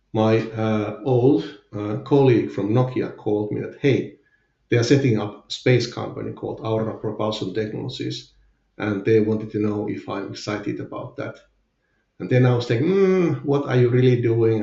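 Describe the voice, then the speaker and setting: A man's voice: lowish voice, vocal fry, warm tone, echoey room, dull audio. A man with a Finnish accent, a guest in a candid podcast interview, speaking hesitantly with many fillers. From Finland. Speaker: guest, company CFO.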